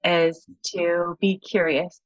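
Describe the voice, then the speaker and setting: A woman's voice: on the low side, flat delivery, takes it slowly, lo-fi sound. A woman speaking hesitantly and reflectively, searching for words over a video call. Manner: searching, hesitant, many fillers. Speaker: conversation participant. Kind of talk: personal reflective talk.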